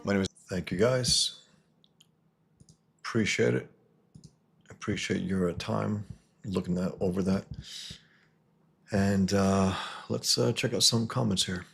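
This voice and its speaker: A man from New York hosting a casual livestream, reading viewer comments and answering in a halting way that restarts and repeats words. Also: low-pitched, steady tone, gravelly, a little hiss or hum. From New York, USA. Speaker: livestream host and comic artist.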